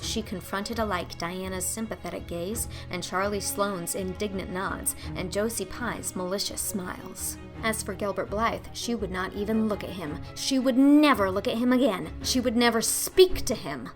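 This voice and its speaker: A woman reading a children's story as scripted narration, in a theatrical, emphatic storytelling manner. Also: medium pitch. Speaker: audiobook narrator.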